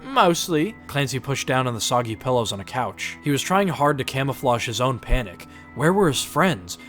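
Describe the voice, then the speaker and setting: A man's voice: mid-range voice, animated, moderate pace, a bit bright. A man reading scripted, dramatized story narration as the narrator. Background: music.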